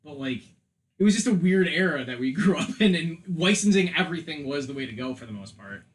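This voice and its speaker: Higher-voiced man in casual, rambling chat. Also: lively intonation, a little fast. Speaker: podcast co-host. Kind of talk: casual opinionated film chat.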